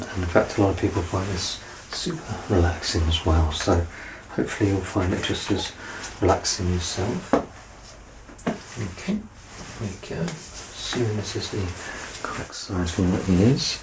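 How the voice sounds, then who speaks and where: A man playing a doctor in an ASMR medical exam roleplay, soft-spoken and reassuring, with gentle teasing and close whispered passages. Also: deep voice, a little slow, noisy background. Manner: slips into unvoiced whispering up close.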